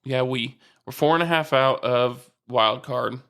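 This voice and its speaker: A man's voice: medium pitch, a little slow, a bit bright, clear, resonant tone. A man co-hosting a podcast, chatting casually about baseball, teasing his partner and steering the talk with questions. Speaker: podcast co-host. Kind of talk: casual sports chat.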